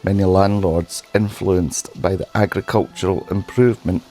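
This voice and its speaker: A man with a sore, hoarse throat narrating a history documentary in a solemn, measured way. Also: low voice, fairly even intonation, mellow, low-quality recording. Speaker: history channel host.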